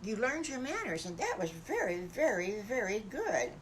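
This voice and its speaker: A woman voicing a kindly mother pig in a children's story, gentle, reassuring and polite. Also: on the high side, very animated, moderate pace, slight background noise. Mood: approving.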